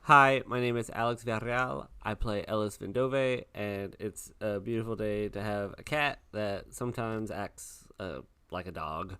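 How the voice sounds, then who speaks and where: A man chatting casually as a podcast player, rambling and often halting to restart his thought. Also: lowish voice, sharp tone, smooth. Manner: rambling, halting, self-interrupting. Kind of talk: casual chat.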